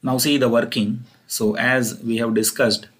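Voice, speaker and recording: A man's voice: medium pitch, little pitch movement, a little slow, a bit bright. A man with a mid-range voice delivers an explanatory engineering lecture. He works through the material one step at a time, restating points as he goes.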